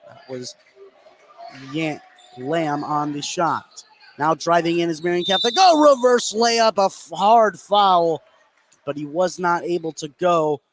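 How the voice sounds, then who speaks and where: Higher-pitched man calling basketball play-by-play. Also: measured pace, a crowd in the background. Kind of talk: live high school basketball radio commentary.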